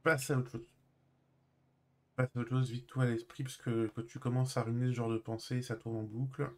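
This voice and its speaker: A man chatting casually while live-streaming a role-play game, halting and often trailing off. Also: medium pitch, flat delivery.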